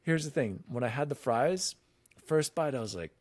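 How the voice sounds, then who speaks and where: A man hosting a casual gaming podcast, telling a food story with animated, drawn-out emphasis. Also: mid-range voice. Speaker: podcast host. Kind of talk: casual chat.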